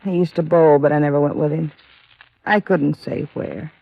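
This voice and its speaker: Radio drama widow: plain-spoken, bitter with grief, creaky, on a dry, dull vintage recording. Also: female voice, low-pitched, steady tone, moderate pace, no echo. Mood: bitter, upset. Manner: plaintive, plain uneducated speech. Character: grief-stricken working-class widow, bitter and defensive. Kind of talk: 1950s radio drama acting.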